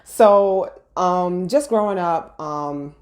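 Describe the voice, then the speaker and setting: A woman's voice: on the low side, extremely slow, a little mellow. A young woman from Brooklyn, telling her story casually as an interview guest, laughing often. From Brooklyn, New York. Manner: laid-back, chatty, laughs often.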